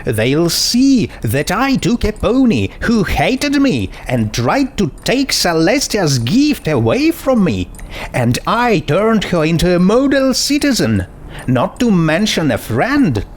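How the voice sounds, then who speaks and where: A man's voice: high voice, huge pitch swings, slow, pitched above the performer's own voice, full character voice. A man voicing a self-righteous young female pony princess in a storybook reading, earnest, gushing and pedantic. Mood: proud, beaming. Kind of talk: storybook character voice in fan fiction reading.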